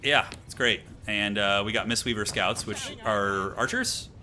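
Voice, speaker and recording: A man's voice: on the low side, a little slow, bright, forceful tone. A man giving casual, slangy live commentary as he plays a strategy game, thinking aloud. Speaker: streamer playing a strategy game. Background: game music.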